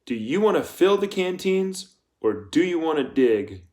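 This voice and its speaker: A man voicing a menacing female camp warden in an audiobook, coldly polite and commanding, speaking softly and deliberately. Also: on the high side, big pitch swings, pitched above the performer's own voice. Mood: quiet menace. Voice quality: soft. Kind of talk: audiobook character voice.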